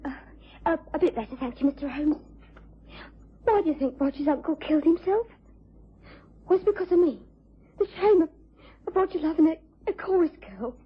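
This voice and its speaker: Anxious, very high-voiced young Englishwoman. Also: lively intonation, brisk, long pauses. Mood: anxious, upset. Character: anxious, timid young chorus girl in love. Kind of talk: mid-20th century radio drama acting. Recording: dull vintage radio recording.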